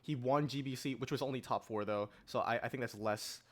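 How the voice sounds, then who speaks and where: A man's voice: medium pitch, fairly quick, a loud crowd. Emphatic young man debating on a podcast. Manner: emphatic, assertive, argues from results. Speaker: podcast panelist. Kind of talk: casual opinionated debate.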